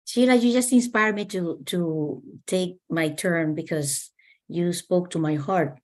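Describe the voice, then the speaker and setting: A woman's voice: fairly low pitch, unhurried. A middle-aged woman from Costa Rica, a native Spanish speaker with a Costa Rican accent, sharing personal stories as a panelist. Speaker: panelist, economics professor.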